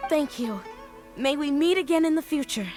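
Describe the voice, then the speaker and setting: A woman's voice: high-pitched, moderate pace, darkish tone, soft background music, natural voice. A woman playing an earnest young scholar. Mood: determined. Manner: earnest, resolved. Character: earnest young female scholar setting out to explore. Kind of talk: video game voice acting.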